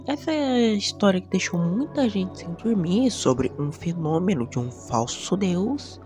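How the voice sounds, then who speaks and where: Video essay host, Brazilian accent. Unhurried, dramatic and emphatic, with wide melodic swings and music underneath. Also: medium pitch. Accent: Brazilian Portuguese. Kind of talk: enthusiastic video commentary with scripted narration.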